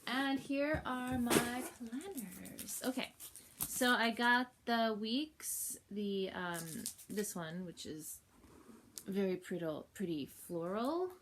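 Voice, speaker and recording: A woman with a cold, sniffly, chatting casually through a planner unboxing at home while filming on her phone, rambling with fillers and soft laughs. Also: a little high, lively intonation, slow, slight background noise. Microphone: phone held while filming.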